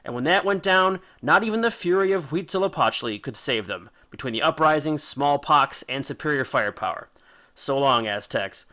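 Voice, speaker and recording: A man tells a tale as a playful storyteller. His voice is fairly high and very bright, with a forceful edge. He delivers scripted narration in casual wording, with theatrical punch and dramatic pauses. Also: expressive. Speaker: host and narrator of a mythology series.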